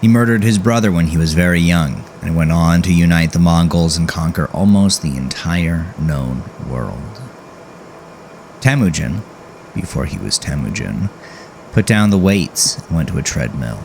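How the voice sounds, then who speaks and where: Very deep gravelly man narrating horror. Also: animated, darkish tone, a little hiss or hum. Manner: grave, measured, dramatic delivery with drawn-out words. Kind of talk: scripted dark horror story narration.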